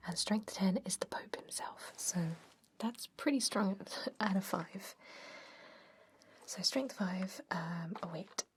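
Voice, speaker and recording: A woman whispering an ASMR roleplay as a gentle, reassuring doctor, breathy and mostly unvoiced, giving step-by-step instructions. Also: mid-range voice. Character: calm, reassuring female vampire doctor examining a patient.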